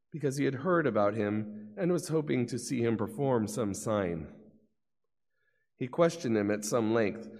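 A man's voice: medium pitch, a little mellow. A middle-aged man solemnly reading scripture as narrator at a lectern. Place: large church, at lectern. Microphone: lectern gooseneck microphone. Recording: long church reverb.

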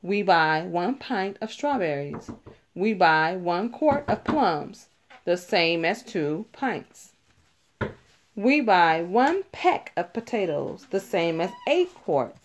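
A woman reading a children's picture book aloud, deliberately, stressing key words as she teaches. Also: on the low side, sing-song, slight background noise. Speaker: read-aloud narrator.

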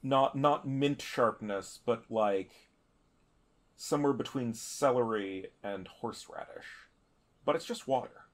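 A man narrating a tabletop game as a player, improvising in halting, deliberate phrases. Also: medium pitch.

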